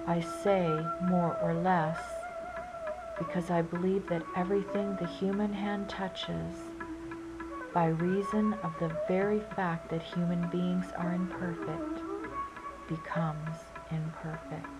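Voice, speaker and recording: A woman narrator reading inspirational sayings in a measured, deliberate and solemn manner. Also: on the low side, low-quality recording. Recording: loud music under the voice. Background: Indian classical flute music.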